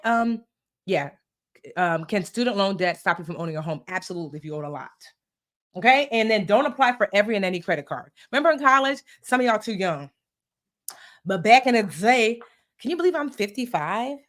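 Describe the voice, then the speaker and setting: A sassy woman in her forties hosts a live money session. Her voice sits at an ordinary middle pitch, and she turns playful as she teases and talks straight to her viewers. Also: lively intonation, a little fast, fairly bright tone. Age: 40s. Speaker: personal finance host. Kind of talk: live-stream teaching chat.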